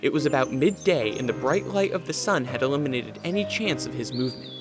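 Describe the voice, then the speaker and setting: A man's voice: medium pitch, lively intonation, quick pace. A man giving dramatic scripted narration for a radio adventure story. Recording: music behind the voice.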